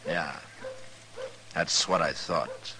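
Deep, laconic frontier marshal. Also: male voice, steady tone, very slow, over a noisy crowd, full character voice. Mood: firm, dry. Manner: terse, understated. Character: steady, laconic, world-weary frontier marshal. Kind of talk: 1950s western radio drama acting.

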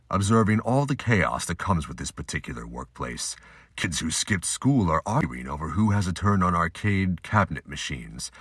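A man narrating a scripted amateur audio drama with a husky voice. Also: extremely low pitch, fairly bright tone, no room sound, studio quality.

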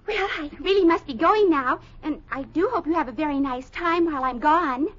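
A woman's voice: sits high, moderate pace, close to an everyday voice. A woman playing a scatterbrained, naive female secretary in an earnest 1950s radio comedy style. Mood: chirpy, earnest. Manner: earnest, naive comic delivery. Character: scatterbrained, chirpy female secretary, easily tearful. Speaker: comic actress. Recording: dull, noisy old radio recording.

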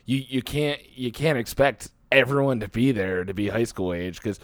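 A man with a Delaware County, Philadelphia accent, co-hosting a podcast in casual chat with a slight vocal fry. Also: medium pitch, fairly even intonation, moderate pace, dry recording. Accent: Delaware County, Philadelphia area. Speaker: podcast co-host.

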